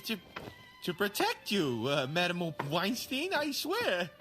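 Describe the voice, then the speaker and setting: A woman's voice: mid-range voice, wildly swooping pitch, slow, a bit bright, faint music behind, heavily acted. A woman playing a frightened, weaselly American henchman in an audio drama, stammering and cringing as she pleads and makes excuses. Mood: pleading.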